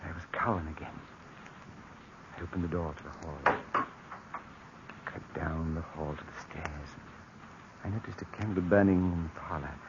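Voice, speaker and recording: A man narrating in the first person for an old radio drama, tense and breathy in places. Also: a bass voice, fairly even intonation, moderate pace, pauses often. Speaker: narrator and lead. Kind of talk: 1940s radio acting. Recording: dull old radio recording with hiss.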